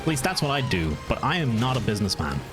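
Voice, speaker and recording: A relaxed Irish man with a Meath accent talks through his gameplay at a mid-range pitch, wandering off mid-sentence and picking the thought back up. Clear game music plays behind him the whole time. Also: fast talker. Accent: Irish, County Meath. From Ireland. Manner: easygoing, rambling, answers chat, frequent restarts. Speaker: game streamer. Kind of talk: casual live-stream chat while gaming.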